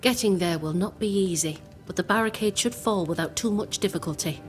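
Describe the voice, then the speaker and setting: An actress playing a composed, resolute plotter in a video game, speaking in formal, measured phrases. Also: female voice, medium pitch, natural voice. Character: composed, resolute woman plotting against a captain. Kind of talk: video game cutscene acting. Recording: prominent music underneath.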